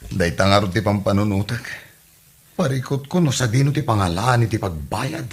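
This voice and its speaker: A man's voice: lowish voice, lively intonation, normal speed, slightly rough. A man acting a dejected, worried husband who lost an election, in a lamenting comedy radio drama style.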